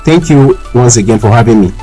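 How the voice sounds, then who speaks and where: A man's voice: medium pitch, expressive, a little fast, dark tone, natural voice. A man with a Nigerian accent speaking as a company spokesman in a scripted, formal radio promotion. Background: music.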